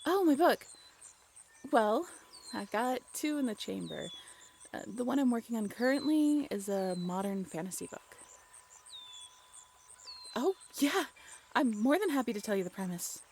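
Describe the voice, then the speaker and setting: A playful, affectionate young writer, acted by a woman in an intimate audio roleplay. Her voice sits fairly high and swings freely up and down as she chats and teases, tough in her words yet a little shy. Faint outdoor ambience of insects and birds runs behind her. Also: expressive, measured pace, creaky, long pauses, a little mellow, a little hiss or hum. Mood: eager, storytelling. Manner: chatty, teasing, giggly.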